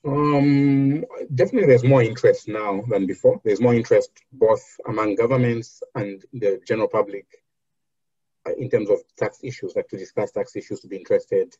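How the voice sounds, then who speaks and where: A man from Zambia, a foundation program officer, answering interview questions in a measured way with many fillers and restarts. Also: fairly low pitch, steady tone, warm tone, husky, no room sound, highs cut off.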